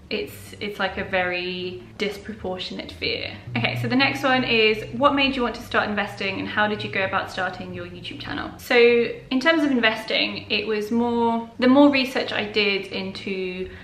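A bright, clear-toned young woman whose first language is Polish chats openly through viewer questions. She talks to the camera from a living room, and the room's echo carries around her voice. Her delivery is casual and friendly. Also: mid-range voice, normal speed, a little hiss or hum. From Poland. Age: young adult. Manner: chatty and open, answering viewer questions. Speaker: personal finance YouTuber. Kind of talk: casual Q&A vlog. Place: living room at home, indoors.

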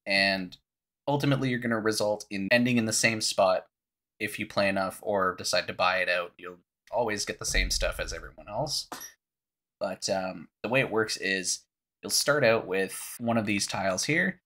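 Young man with a raspy, scratchy voice. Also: lowish voice, moderate pace, pushed and bright. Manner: casual, explains step by step. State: coughing. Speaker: gaming YouTuber. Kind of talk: casual game walkthrough. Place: small room at home. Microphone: headset.

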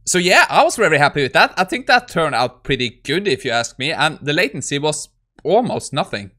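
A man in his thirties talks through code in a casual, conversational way. He sounds like a tech creator at his desk, speaking at a middling pitch into a close microphone. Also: sing-song, brisk, pushed and bright. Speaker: tech YouTuber. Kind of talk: casual screen-recorded coding walkthrough.

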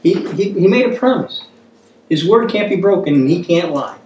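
A man's voice: high voice, lively intonation, normal speed, darkish tone, a little hiss or hum. A man in his fifties teaching a Bible study like a sermon, earnest and exhorting, repeating phrases for emphasis. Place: small room at a wooden table, indoors. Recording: echoey room, distant microphone.

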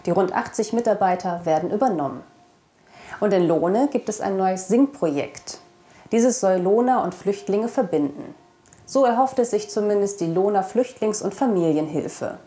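A young woman reads the news as a presenter in a studio. Her voice sits at a medium pitch and is picked up by a clip-on microphone. The delivery is scripted. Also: animated, normal speed, warm tone. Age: young adult. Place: indoor studio. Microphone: clip-on lapel microphone.

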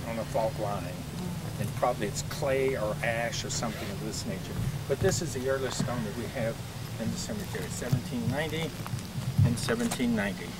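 An older man explains gravestones to a tour group outdoors, chatty and unhurried, stopping often on "uh" and starting over. His voice sits at an ordinary pitch and comes through a camcorder from a few feet away. Steady traffic noise runs behind him. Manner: chatty, explanatory, with frequent uh fillers and restarts. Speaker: cemetery tour guide. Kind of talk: outdoor guided walking tour talk. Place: outdoor cemetery among trees. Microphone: camcorder mic from a few feet away.

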